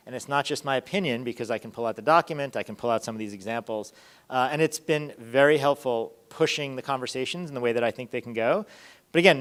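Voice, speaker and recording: A man presenting an informal conference talk as a research lead, conversational, often checking in with rhetorical questions. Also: medium pitch.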